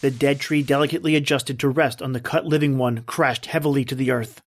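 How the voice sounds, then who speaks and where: An actor playing a tense, determined hunted man, narrating his ordeal gravely and deliberately as in an old-time radio drama. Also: medium pitch, fairly even intonation, lightly acted. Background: background music.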